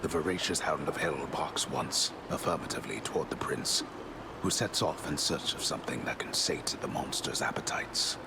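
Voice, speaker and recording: An actor playing a solemn, grandiose old storyteller, narrating a mythic tale for a video game in ornate, deliberate phrases with a gravelly voice. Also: male voice, extremely low pitch. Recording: loud noise behind.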